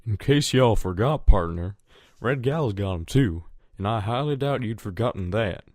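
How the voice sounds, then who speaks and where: A man's voice: on the low side, animated, moderate pace, darkish tone, no echo, studio quality, natural voice. A man playing a stubborn, easygoing farm pony with a folksy American Southern drawl in a fan audio drama.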